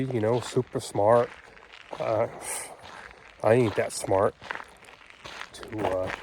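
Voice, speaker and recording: A man's voice: fairly low pitch, warm tone, slight background noise. A man hosting a ham radio vlog, explaining contacts in a folksy, rambling way full of "you know" and "uh". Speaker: ham radio hobbyist and channel host.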